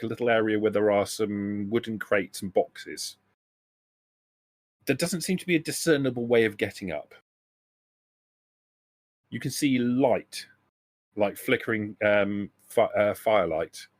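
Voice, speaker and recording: A man narrating a tabletop role-playing game as game master, describing scenes in a halting, self-correcting way. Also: lowish voice, dry recording.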